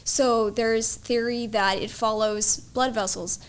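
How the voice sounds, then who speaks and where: A woman's voice: on the high side, fairly even intonation, slow, clean tone. A woman explaining her field as a guest expert in an interview, in an instructive, matter-of-fact way.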